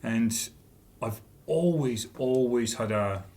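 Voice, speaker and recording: A man's voice: mid-range voice, slow, darkish tone. A Scottish man in his fifties, a guest author chatting casually and telling anecdotes with easy laughs. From Scotland. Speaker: guest author and leadership trainer. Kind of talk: casual interview conversation.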